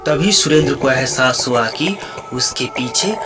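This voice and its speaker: Slow, echoey male story narrator. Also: mid-range voice. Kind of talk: scripted children's story narration. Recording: echoey, distant, music behind.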